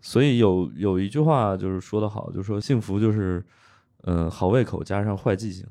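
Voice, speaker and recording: A man's voice: on the low side, normal speed, dark tone. A man hosting a podcast with casual, comedian-style banter. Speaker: podcast host, stand-up comedian.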